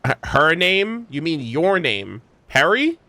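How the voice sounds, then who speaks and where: Animated, higher-pitched man reacting to a game. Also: slow pace, dry recording. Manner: expressive, exclamatory, slangy. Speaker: gaming video host. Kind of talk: casual reaction commentary over gameplay. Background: game music.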